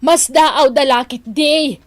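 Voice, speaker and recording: A woman's voice: high-pitched, no echo. An actress playing a nagging mother of a grown son in a radio drama, scolding with an Ilocano accent. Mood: reproachful. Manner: scolding, drawn-out exclamations. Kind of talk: Filipino radio drama acting.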